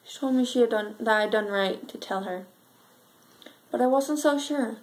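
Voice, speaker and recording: A young woman performing an amateur dramatic monologue as a sad, frightened schoolgirl, telling it earnestly with halting pauses. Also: fairly high pitch, natural voice, poor audio. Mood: subdued, uneasy. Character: sad, frightened schoolgirl recalling her missing friend.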